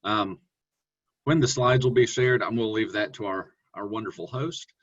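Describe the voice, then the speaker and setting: A man presenting a webinar on online teaching, talking in a relaxed, conversational way with fillers and self-corrections. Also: normal speed. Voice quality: deep voice. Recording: dull lo-fi webinar audio.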